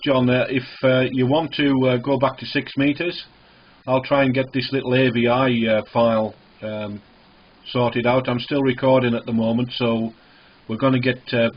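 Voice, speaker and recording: A man speaking in a measured, matter-of-fact way as an amateur radio operator in a casual contact, heard over a radio. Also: mid-range voice, husky. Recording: narrow, tinny phone-like radio audio.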